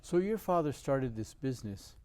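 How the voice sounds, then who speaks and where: A man's voice: medium pitch, animated, moderate pace, darkish tone. A man hosting an interview, asking brief questions and giving short affirmations. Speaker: interviewer, host.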